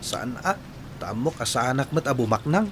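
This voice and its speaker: A man's voice: mid-range voice, expressive, moderate pace, fairly bright tone, a little hiss or hum, natural voice. A man with a Filipino accent acting an earnest, modest young man in a radio drama.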